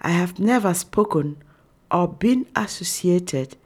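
Husky woman reading her own story. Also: lowish voice, animated, darkish tone. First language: Nufi. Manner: slow, measured reading.

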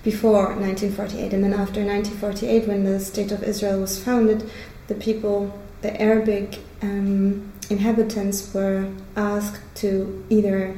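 Female interviewee, even and mellow, measured explainer, roomy sound with faint noise. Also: medium pitch. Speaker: peace activist interviewee. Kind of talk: explanatory personal account in an interview. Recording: echoey room with background noise.

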